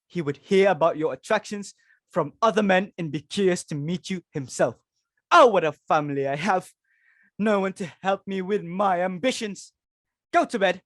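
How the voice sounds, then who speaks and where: A woman's voice: on the low side, dry recording. Frantic, domineering society matron played by a woman, grand and exclamatory stage-comedy delivery, dry recording. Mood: scolding. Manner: theatrical, self-important, exclamatory. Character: imperious, frantic society hostess and domineering mother, married woman. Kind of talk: dramatic reading of a stage comedy.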